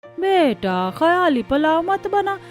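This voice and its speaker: A woman voicing a scornful, domineering middle-aged mother-in-law in a family cartoon, sharp-tongued and scolding. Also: very high-pitched, big pitch swings, slow pace, soft background music, exaggerated voice. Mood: mocking.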